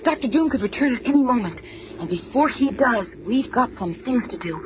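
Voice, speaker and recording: A woman's voice: a little high, lively intonation, brisk, muffled recording, natural voice. Woman as a poised, fearless radio superheroine. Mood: hushed urgency. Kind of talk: 1970s superhero radio drama acting. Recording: noisy vintage radio broadcast recording.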